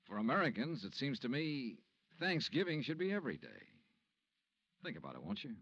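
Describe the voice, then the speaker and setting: An actor playing a wry, earnest insurance investigator in a 1950s radio detective drama. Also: male voice, medium pitch, sing-song, a little fast, a bit bright, slightly rough, dry recording. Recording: dull vintage radio recording.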